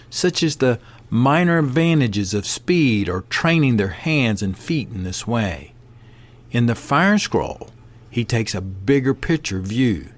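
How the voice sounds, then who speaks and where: Slow, measured male podcast reader. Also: mid-range voice. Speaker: podcast host. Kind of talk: reading a text aloud with commentary.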